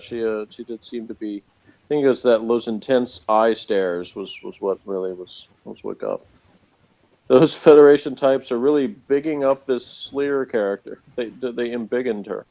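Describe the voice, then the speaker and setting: A man's voice: mid-range voice, expressive, moderate pace, vocal fry, warm tone. A man hosting a casual review chat, reading out notes and commenting on them with chuckles. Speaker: review show host. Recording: slightly dull, lo-fi sound.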